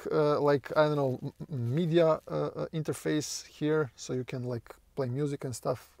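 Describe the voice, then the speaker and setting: Slow, rambling man. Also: on the high side, a little mellow, dry recording. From Croatia. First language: Croatian. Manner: loose, hesitant, full of fillers and restarts, swears casually. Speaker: car owner reviewing his new car. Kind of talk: rambling casual car review while driving. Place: inside a car.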